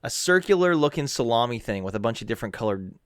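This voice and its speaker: A man's voice: medium pitch, big pitch swings, fast talker, clean tone, no room sound, clean studio sound. A man co-hosting a casual podcast while feeling sick, telling rambling, profane, self-mocking stories. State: ill, under the weather. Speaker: podcast co-host. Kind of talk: casual chat.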